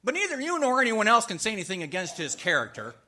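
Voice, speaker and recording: A man acting an earnest, excitable young small-town man in a 1940s-style radio play, with a hesitant, folksy stammer. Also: high voice, fast, exaggerated voice. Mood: indignant, anxious.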